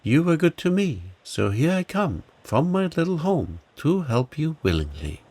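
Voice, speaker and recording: A man voicing a small, kindly, helpful mouse in a storybook reading, speaking chirpily and briskly. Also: fairly high pitch, sing-song, a little mellow, voice pushed up, heavily acted. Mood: eager.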